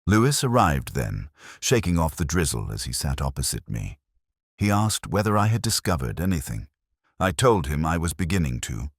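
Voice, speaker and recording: Male narrator for literary detective fiction: extremely low register, measured and solemn yet varied in intonation. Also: sing-song, no room sound, studio quality. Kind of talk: scripted first-person detective story narration.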